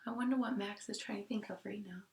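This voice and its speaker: A woman teacher reading a picture book aloud to children, expressively, with hushed asides. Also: mid-range voice, steady tone, fast, gravelly, a little hiss or hum. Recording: roomy, echoing sound.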